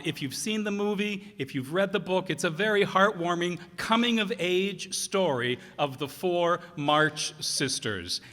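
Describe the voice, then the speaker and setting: A man addresses an audience from a stage in an unscripted, halting way. His voice is bright and forceful and slightly high, with a slow pace, and the hall adds long reverb. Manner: halting, throat-clearing. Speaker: director of community radio plays. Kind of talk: informal, unscripted announcement to an audience.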